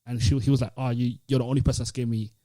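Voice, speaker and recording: A man's voice: mid-range voice, little pitch movement, rapid-fire, warm tone. A British man co-hosting a podcast, telling stories in casual, slangy banter. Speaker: podcast co-host.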